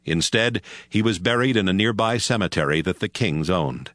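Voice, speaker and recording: Low-pitched male scripture narrator, expressive intonation. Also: normal speed, dry recording, studio quality. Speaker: audio Bible narrator. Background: music.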